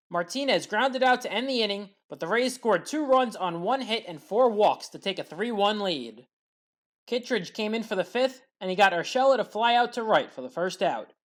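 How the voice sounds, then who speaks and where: A woman narrates a sports highlights recap in a medium-pitched voice. She works through the plays in order as a scripted commentary, reporting each one in turn. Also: lively intonation, moderate pace.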